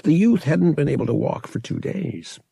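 A man's voice: a little high, sing-song, normal speed, gravelly, dark tone, slightly rough, dry recording. A man reading an adventure novel as an audiobook narrator, in dramatic scripted narration.

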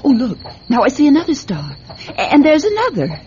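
A woman playing a gentle, indulgent farm mother in a radio drama. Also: high voice, huge pitch swings, fairly quick. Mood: gentle wonder. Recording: dull, noisy radio recording with crickets.

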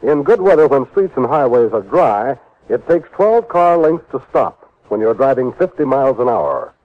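An American man announcing on mid-century radio, reading a script in a measured, earnest, emphatic manner. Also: mid-range voice, very animated. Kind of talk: 1950s radio announcing, scripted public service message. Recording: dull vintage radio recording.

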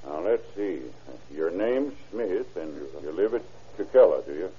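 Casting: folksy, plain-spoken lawman, deep register, old radio crime drama, dull lo-fi sound. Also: animated, measured pace, warm tone, husky, heavily acted. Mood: businesslike. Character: plain-spoken, methodical country sheriff's deputy. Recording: muffled old radio show recording.

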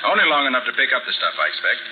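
A self-assured detective acted by a man from a 1940s radio serial, courteous and probing. His delivery swings expressively in pitch. The sound is thin as a telephone, with clear noise. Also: sing-song, natural voice. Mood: confident, courteous. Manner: brisk, courteous, inquisitive. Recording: tinny, noisy 1940s radio recording.

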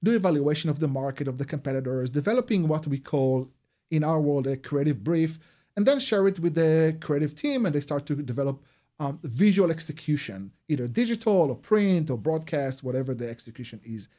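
A man's voice: fairly high pitch, animated, fairly quick, mellow. Israeli-accented man explaining his craft. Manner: earnest, explanatory, non-native phrasing. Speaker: guest, advertising and PR agency head. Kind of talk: radio interview answers.

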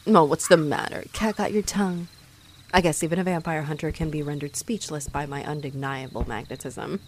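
A woman's voice: sits low, fairly even intonation, brisk, slight background noise, natural voice. A woman playing a haughty, sarcastic female vampire in a roleplay audio drama, mocking and theatrical as she taunts her rival. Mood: gleefully mocking.

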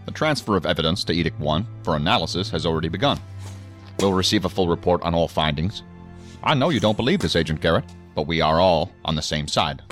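An actor playing a stern, commanding federal agency superior in a science fiction audio drama, speaking in a formal, clipped, authoritative way. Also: male voice, deep voice, animated, quick pace, fairly bright tone, faint music behind. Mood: stern, official.

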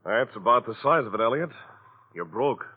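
An actor playing a blunt, matter-of-fact family lawyer speaking deliberately in a 1940s radio drama. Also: male voice, mid-range voice, lively intonation, husky. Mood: blunt, measured. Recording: tinny vintage radio recording.

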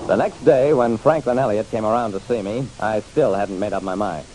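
A man's voice: fairly low pitch, brisk, natural voice. An actor playing a hard-boiled private detective narrating his casebook in a wry, dramatic, punchy radio style. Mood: reflective. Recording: hissy old radio recording.

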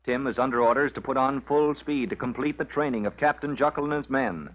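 A man's voice: mid-range voice, brisk. A man announcing and narrating an old radio serial in a dramatic scripted style. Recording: muffled vintage radio recording.

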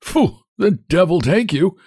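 Young hunchback, storybook character, high cracked voice, bossy, jeering, impatient, swooping pitch. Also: male voice, huge pitch swings, unhurried, slightly rough, no echo, clean studio sound, exaggerated voice. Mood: indignant, bullying. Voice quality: cracked, quivering voice. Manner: impatient, abusive barking.

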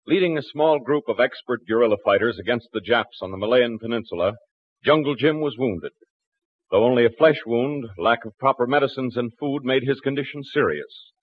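A man's voice: medium pitch, lively intonation, fairly quick, highs cut off. A man narrating and announcing an old 1940s radio adventure serial in scripted, dramatic broadcast style.

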